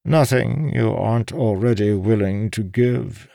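A man acts out a sly old male prisoner in a fantasy audiobook. He talks slowly and pleasantly with quiet menace, his pitch lifted and his delivery calculating, in a dry close recording. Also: no echo. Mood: pleasantly calm. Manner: smooth, unhurried, calculating. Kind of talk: dramatic audiobook character reading.

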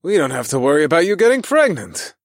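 A man's voice: mid-range voice, sing-song, fairly quick, dry recording, clean studio sound, natural voice. A man voicing a confident, teasing male lover in audiobook dialogue.

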